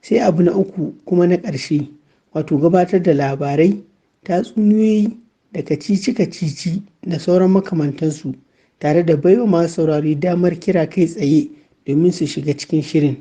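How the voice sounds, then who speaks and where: A man's voice: a little high, brisk, dark tone. A man delivering a prepared explanatory monologue in a formal, orderly way.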